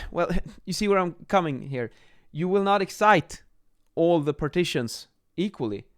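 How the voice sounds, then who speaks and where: A man in his thirties explaining as an instructor in an informal lesson, a little halting as they think aloud, recorded on a close microphone in a small room. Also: on the high side, animated. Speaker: acoustics instructor, presenter. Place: small home office, indoors. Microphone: microphone on boom arm, close.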